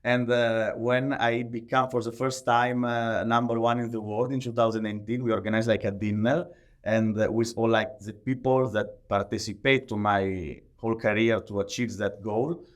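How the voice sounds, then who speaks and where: A man with an Italian accent answering interview questions conversationally in halting, non-native English, often leaning on the word "like". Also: mid-range voice, steady tone. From Italy. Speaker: podcast guest, professional athlete.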